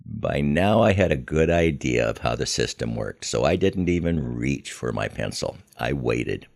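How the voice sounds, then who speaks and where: An older American man telling a scripted first-person story in a measured, reflective manner. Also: a bass voice, animated, creaky, a little mellow. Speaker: podcast host telling his memoir.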